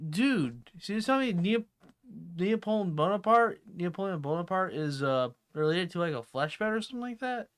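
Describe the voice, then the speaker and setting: A man's voice: sits high, animated, normal speed, clean tone. A man giving casual reaction commentary in a slangy, exclamatory, incredulous way. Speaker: reaction video host.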